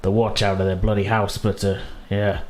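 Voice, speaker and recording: A man's voice: lowish voice, little pitch movement, moderate pace, darkish tone, lightly acted. A man voicing a plain-spoken, folksy village hunter in a tabletop fantasy game, hesitant as he talks. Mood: grumbling, wry.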